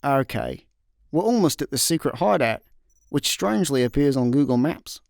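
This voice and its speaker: A hapless space slacker, played by a man, sarcastic and bemused. His pitch jumps around in lively fashion, recorded close and dry for a radio comedy. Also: normal speed, vocal fry, no echo. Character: sardonic, hapless young male space layabout. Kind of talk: comic sci-fi radio play acting.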